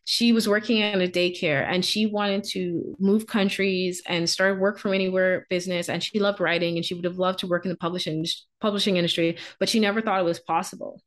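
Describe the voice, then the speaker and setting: A woman's voice: lowish voice, steady tone, brisk, hardly any pauses. A woman from the Bahamas, a business coach speaking as a podcast guest in an earnest, reflective way and laughing easily.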